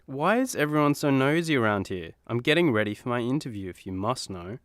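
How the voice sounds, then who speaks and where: An actor playing a vain, fretful superhero, easily put on the defensive, in a comedy radio play. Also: male voice, medium pitch, sing-song, a little fast, a bit bright, slight background noise. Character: vain, anxious, image-conscious superhero.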